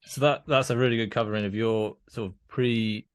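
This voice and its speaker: A man's voice: on the low side, fairly even intonation, fairly quick, clear, resonant tone, no echo, clean studio sound. A man hosting an interview podcast, asking questions with hesitant restarts.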